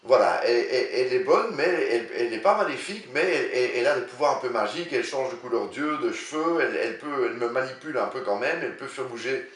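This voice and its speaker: A man in his sixties, an author answering interview questions in a halting way, often repeating words as he searches for them. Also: medium pitch, animated, a bit bright, distant mic. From Belgium. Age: 60s. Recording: roomy sound, voice a bit off-mic.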